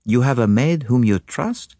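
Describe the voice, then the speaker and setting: A man's voice: mid-range voice, lively intonation, moderate pace, warm tone, no echo, clean studio sound, pitched above the performer's own voice, close to an everyday voice. A man reading an audiobook, voicing a fussy, courteous foreign male detective who speaks precisely and drops French phrases. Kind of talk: audiobook character voice.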